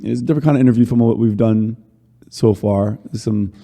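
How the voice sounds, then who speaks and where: A man hosting a casual interview podcast, his voice very dark and mellow at an ordinary pitch. He rambles and restarts his sentences, and the sound carries a long reverb. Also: fairly even intonation, brisk. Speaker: podcast host.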